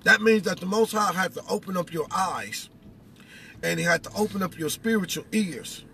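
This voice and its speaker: A man's voice: sits high, animated, normal speed, gravelly, pushed and bright. A middle-aged American man giving an informal spiritual talk in an emphatic, instructive way, often checking in with questions. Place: inside a vehicle cab. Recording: very noisy vehicle, road noise. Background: car and outdoor noise.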